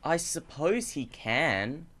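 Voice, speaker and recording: A woman's voice: deep voice, big pitch swings, slow pace, a bit bright, exaggerated voice. A woman playing an easygoing, boastful schoolboy in a radio play, offhand and teasing.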